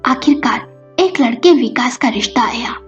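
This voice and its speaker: A high-voiced woman reads a scripted story as a storyteller. The sound is slightly dull and lo-fi, with music playing behind her. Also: animated, moderate pace. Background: background music.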